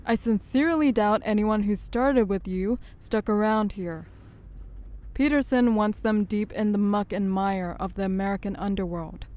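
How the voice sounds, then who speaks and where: A woman's voice: mid-range voice, moderate pace, darkish tone, lightly acted. A woman acting as a wry, worldly enforcer for a crime syndicate in an audio drama, with a knowing, teasing manner. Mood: knowing, advising. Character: wry, worldly female syndicate muscle, a secret informant.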